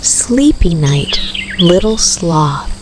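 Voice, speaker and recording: A woman narrating a scripted bedtime story for toddlers in a soothing, gentle, unhurried manner. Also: lowish voice, sing-song, background noise. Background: music with rainforest night sounds of rain, crickets and frogs.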